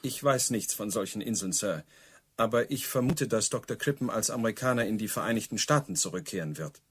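An actor playing a deferential, principled police subordinate in a radio play, speaking formally with dry, pedantic politeness. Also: male voice, on the low side, animated, fairly quick, a bit bright, a little hiss or hum. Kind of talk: German radio play dialogue.

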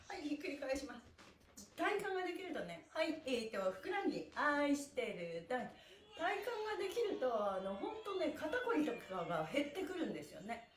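A woman's voice: high-pitched, sing-song, moderate pace. A middle-aged woman cheerfully and encouragingly leading a stretching class, talking and chanting while she exercises. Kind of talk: live exercise instruction with chanted counts. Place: small indoor room with bare wall, on a mat. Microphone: camera a few metres away. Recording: roomy echo, distant mic.

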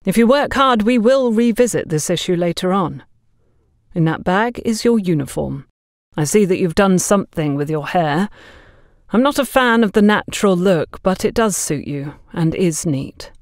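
Audio story boss: grave woman, voiced by a female narrator, stern, formal, measured delivery, dry sound. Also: fairly low pitch, lively intonation, no echo. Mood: stern, businesslike.

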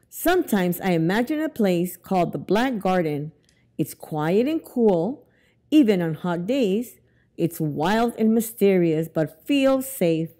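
Slow, lively woman reading a picture book. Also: mid-range voice. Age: middle-aged. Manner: expressive, deliberate reading to young children. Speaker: library storytime reader. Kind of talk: children's picture book read-aloud. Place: indoors in a library, among bookshelves. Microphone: lapel mic.

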